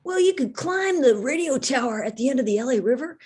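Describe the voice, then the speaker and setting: A woman voicing a small, earnest, apologetic cartoon animal in a table read, husky and fussily placating. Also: high voice, animated, fairly quick, darkish tone. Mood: helpful.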